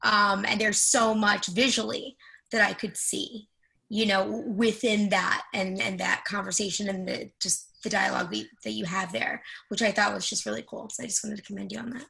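A woman's voice: medium pitch, fairly even intonation, normal speed, creaky, bright, forceful tone, poor audio. A woman chatting casually in a group discussion, hesitant, with many "like"s and restarts. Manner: hesitant, filler-heavy, says "like" often.

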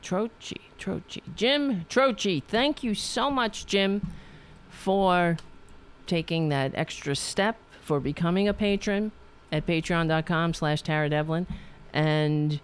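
A woman's voice: fairly low pitch, takes it slowly, clean tone. A woman with a New York accent from Staten Island, hosting a political talk show in a rambling, profane monologue full of restarts. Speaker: podcast host.

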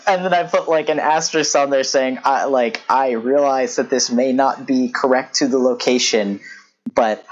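A woman's voice: extremely low pitch, normal speed, highs cut off. A woman novelist chatting casually and animatedly, laughing often and using lots of fillers. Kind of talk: casual podcast chat.

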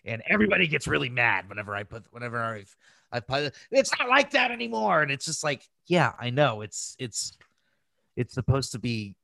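An American man talks casually as a podcast co-host. His voice sits at a middling pitch, recorded dry and close. He speaks informally and swears freely. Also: big pitch swings, a little fast, gravelly, sharp tone, dry recording. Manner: informal, profane, conversational. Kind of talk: casual chat.

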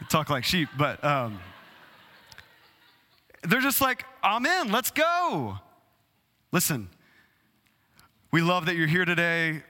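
A man preaching in a church sanctuary, his voice a little high, bright and forceful. He keeps things conversational and talks straight to the people in the pews. A long hall reverb trails behind each phrase. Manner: conversational, addresses the congregation directly. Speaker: pastor. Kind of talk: sermon.

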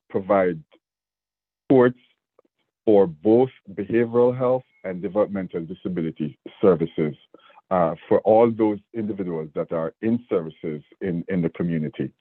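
A man in his sixties with a Jamaican accent, a state division director speaking earnestly and deliberately in a radio interview. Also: sits low, no room sound. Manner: earnest, measured, with restarts. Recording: dry, phone-like radio line.

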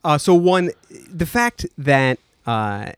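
A man's voice: on the high side, expressive, takes it slowly, clean tone, no room sound, clean studio sound. A man co-hosting a satirical comedy chat, delivering ironic claims in a mock-earnest tone. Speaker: podcast co-host.

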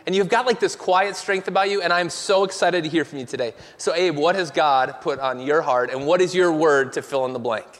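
A man's voice: fairly high pitch, brisk, hardly any pauses, fairly bright tone. A man hosting a church service from the stage, upbeat and enthusiastic as he introduces a guest. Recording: long reverb of a large hall.

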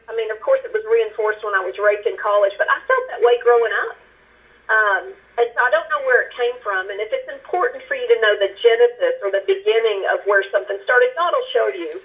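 Earnest halting woman sharing her testimony. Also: on the high side, a bit bright, rough recording. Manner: earnest, halting, with many restarts and repeated words. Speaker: guest minister. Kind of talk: personal testimony in a faith interview.

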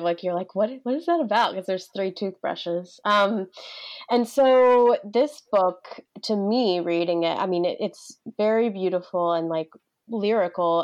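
A woman's voice: medium pitch, darkish tone, dull audio. A young woman hosting a casual book chat, gushing informally and peppering her talk with "like". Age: young adult. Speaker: podcast host.

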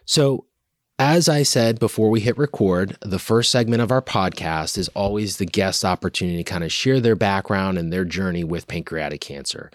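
A man with a very low voice hosts a podcast and welcomes his guest in an easy, relaxed way. The sound is dry and close, with no room around it. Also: moderate pace, no echo. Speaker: podcast host. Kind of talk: podcast hosting.

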